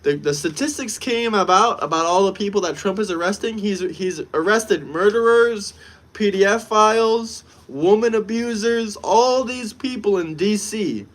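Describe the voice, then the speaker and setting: A man's voice: high voice, measured pace, fairly bright tone. A man from the United States talking casually on a live stream, brash and emphatic, often repeating himself.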